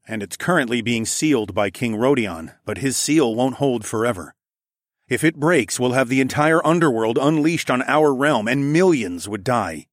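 A man acts out an assured leader in a fantasy audiobook. The lord talks plainly and reassuringly at a middle pitch, in a close, dry sound. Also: lively intonation, normal speed, no echo, close to an everyday voice. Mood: serious.

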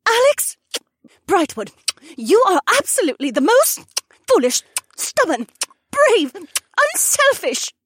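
Man doing a young phoenix woman, very high falsetto, swooping, impassioned, close and dry. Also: sing-song, moderate pace, slight background noise. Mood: gushing.

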